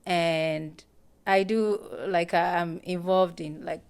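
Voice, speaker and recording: A woman's voice: lowish voice, steady tone, slow, clean tone. A woman in her twenties from Ghana, a guest chatting casually in a live-streamed interview, hesitant and repeating her words.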